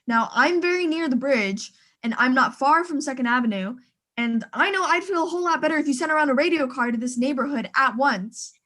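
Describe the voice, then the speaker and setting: A woman's voice: high-pitched, brisk, fairly bright tone. A woman playing a fretful, imperious bedridden wife in a suspense radio play, insistent and agitated. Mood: anxious, insistent.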